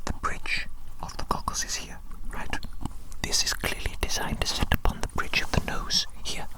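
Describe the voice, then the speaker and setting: A performer voicing a pompous, vain evil scientist in a breathy, unvoiced ASMR whisper, with theatrical, repetitive phrasing. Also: moderate pace, lightly acted. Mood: exasperated.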